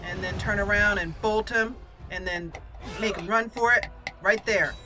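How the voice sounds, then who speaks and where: A woman's voice: mid-range voice, fairly even intonation, unhurried, music in the background. A woman hosting a let's play, chatting and thinking aloud as she plays. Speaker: gamer host, role-player.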